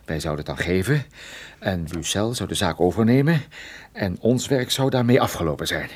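A man playing a wry, stubborn private detective in a Dutch radio play, explaining things in a conversational, halting way. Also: on the low side, expressive. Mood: urgent, resolute. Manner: conversational, hesitant interjections, explanatory. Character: wry, stubborn male private detective, injured but determined.

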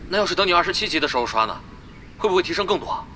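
A man's voice: high voice, lively intonation, rapid-fire, very noisy. A man playing an inquisitive online guild player in an audio drama. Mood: probing. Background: music.

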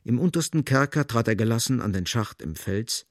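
A man narrating a literary radio play in a measured, precise storytelling manner, close to a studio microphone. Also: medium pitch, animated, dry recording. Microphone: close, dry studio microphone.

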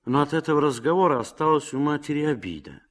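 A man narrating a literary radio drama as a gently ironic storyteller. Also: fairly high pitch, expressive, fast talker, lightly acted. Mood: wry, reflective.

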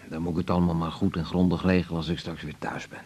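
An actor playing an older, ailing father in a radio drama, halting and confiding, fearful yet proud, with a gravelly voice that often drops to breathiness. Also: low-pitched, little pitch movement, a little mellow. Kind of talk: naturalistic radio drama acting.

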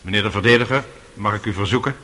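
An actor playing a courteous, measured presiding judge in a radio drama. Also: male voice, lowish voice, bright, forceful tone. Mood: formal, advising. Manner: measured, official.

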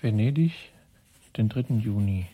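An actor playing a guarded, soft-spoken young swindler in a German radio crime drama, speaking in a halting, measured way. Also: male voice, fairly low pitch, lively intonation, faint music behind. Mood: measured dictation. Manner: slow, halting, measured delivery.

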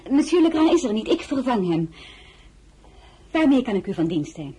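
A spirited, shrewd young shopkeeper in a radio play. Her voice is mellow and highly animated, swinging up and down with brisk, polite firmness. Faint noise sits behind her. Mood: brisk, selling. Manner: brisk, firm, polite saleswoman. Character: spirited, shrewd merchant's daughter minding a silk shop. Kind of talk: radio drama acting.

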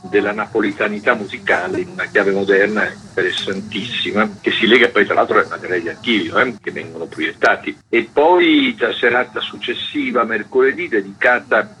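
A man's voice: fairly low pitch, fairly quick, few pauses, pushed and bright, slight background noise, rough recording. An older man explaining at length in a radio interview, discursive and full of asides. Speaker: archive president, interview guest.

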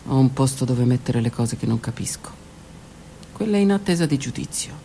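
Gravelly woman in a dramatic monologue. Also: extremely low pitch, lively intonation, normal speed, warm tone, slight background noise. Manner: introspective, dramatic delivery, drops to whispers. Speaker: stage performer. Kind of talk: theatrical monologue for radio. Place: stage or studio performance.

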